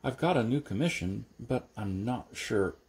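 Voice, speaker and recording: A man's voice: mid-range voice, lively intonation, moderate pace, a little mellow, natural voice. A man voicing a nervous, socially awkward young clockmaker in an audiobook reading. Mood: anxious. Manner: anxious, flustered questioning.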